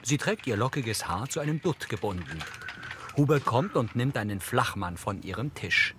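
A man's voice: medium pitch, lively intonation, moderate pace, fairly bright tone, slight background noise. A man reading scripted audio description narration for a television drama.

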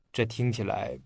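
An actor playing an earnest, polite young police detective in a radio drama. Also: male voice, medium pitch, creaky, darkish tone, slightly rough, dry recording, clean studio sound, exaggerated voice.

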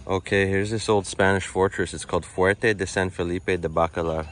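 A man vlogging a casual walking tour outdoors at an old stone fort, chatty and relaxed, thinking aloud with fillers. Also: deep voice, moderate pace, faint music behind. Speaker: travel vlogger.

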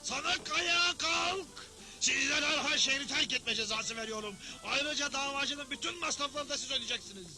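A pompous crooked Wild West judge in a cartoon, very high-pitched and declamatory. He pronounces every line like a grand verdict, over loud music. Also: normal speed, heavily acted. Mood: bossy, official. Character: pompous, theatrical crooked frontier judge who craves authority. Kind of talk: dubbed Western cartoon acting.

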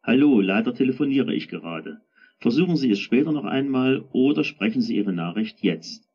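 A man speaking a recorded voicemail message, heard through dull, low-fidelity audio. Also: expressive, normal speed, darkish tone, slightly rough.

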